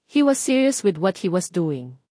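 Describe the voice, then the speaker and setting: A woman's voice: fairly low pitch, sing-song, moderate pace, mellow, dry recording, studio quality. A woman reading a scripted romance novel aloud as a first-person narrator. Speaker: audiobook narrator.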